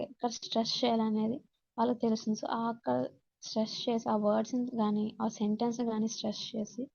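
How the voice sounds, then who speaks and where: A woman's voice: a little high, gravelly, dark tone, dry recording. A woman with a Telugu accent teaching in an instructional classroom-lecture manner, mixing in English terms, on dull, muffled audio. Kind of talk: classroom lecture reading out points and explaining them.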